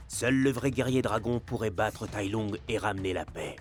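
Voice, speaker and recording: An actor narrating a game's epic tale in a dramatic scripted storytelling style with wry humour. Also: male voice, fairly low pitch, moderate pace, faint music behind, close to an everyday voice.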